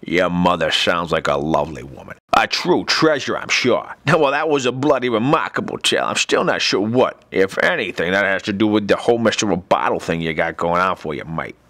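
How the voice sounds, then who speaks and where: A man's voice: deep voice, big pitch swings, brisk, hardly any pauses, pushed and bright, no room sound, full character voice. A man playing an irritable, sarcastic, foul-mouthed therapist in a scripted comedy. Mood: sardonic amusement. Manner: sarcastic, edgy, crude. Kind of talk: scripted comedy character acting.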